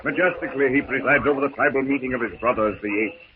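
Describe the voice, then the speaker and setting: A man's voice: medium pitch, fast. A man narrating a radio adventure serial in a dramatic, declamatory 1930s style. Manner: theatrical, declamatory. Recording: scratchy, tinny 1930s radio recording.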